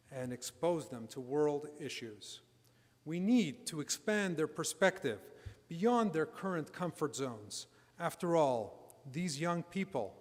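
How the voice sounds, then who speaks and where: A man delivers prepared welcome remarks for a college in a formal register. His pitch is on the high side for a man. The sound has the lingering echo of a hall. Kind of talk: formal scripted welcome speech. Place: large hall, public lecture. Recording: echoey hall with long reverb.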